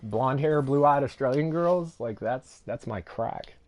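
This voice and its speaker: A man's voice: medium pitch, slow. A young man with a Texan Southern accent, chatting casually and rambling while he streams a game, laughing often. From Texas. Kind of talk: casual live-stream chat while gaming.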